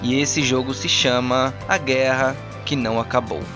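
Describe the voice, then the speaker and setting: A man's voice: medium pitch, fairly even intonation, normal speed. A man narrating a tabletop roleplaying game as game master. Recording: dull lo-fi, music behind.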